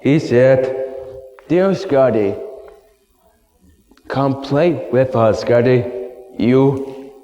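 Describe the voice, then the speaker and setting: Very slow, halting man in echoey hall. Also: medium pitch, dark tone, highs cut off. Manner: halting short simple phrases. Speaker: guest speaker, artist. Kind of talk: live storytelling introduction. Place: stage at live event. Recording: echoey hall, music behind.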